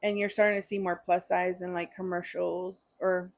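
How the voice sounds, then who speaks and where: A woman in her thirties, a guest on a casual podcast, talking in a relaxed, chatty way full of ums and likes. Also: on the low side, normal speed. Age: 30s.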